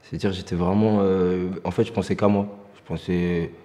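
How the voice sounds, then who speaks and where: A man's voice: sits low, fairly even intonation, moderate pace, warm tone. A young man telling his life story in a documentary interview, earnest and full of filler phrases. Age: young adult. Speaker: trainee interviewee. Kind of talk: documentary interview, personal testimony. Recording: reverberant room.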